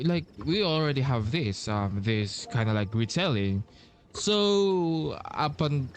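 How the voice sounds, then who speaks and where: Slow, halting male gamer. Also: medium pitch, a little hiss or hum. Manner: hesitant, halting, musing. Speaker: video game player commenting on a playthrough. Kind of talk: casual gameplay commentary. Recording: commentary over game music.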